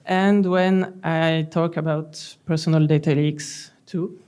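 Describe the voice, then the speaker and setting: A middle-aged woman from France with a French accent, giving a calm, gently humorous conference talk from a lectern in a large hall. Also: deep voice, takes it slowly, clean tone. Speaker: conference speaker.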